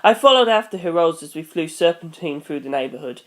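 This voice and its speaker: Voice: mid-range voice, animated, brisk. A reader narrating a first-person action story in a storytelling style. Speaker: audiobook reader.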